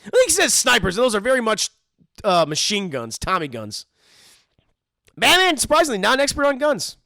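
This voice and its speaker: A man hosts a casual, joking commentary on a cartoon episode, his fairly high voice leaping all over the place with a gravelly rasp. His tone is bright and tense, and the close mic keeps the room dry. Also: moderate pace, no room sound. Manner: joking, animated, mock-voices characters. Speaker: commentary host.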